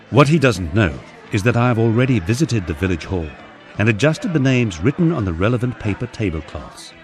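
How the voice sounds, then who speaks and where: A man with a low voice reads a first-person memoir, his pitch rising and falling expressively as he tells it with dry humor. Faint music plays softly behind him. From England. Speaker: audiobook narrator.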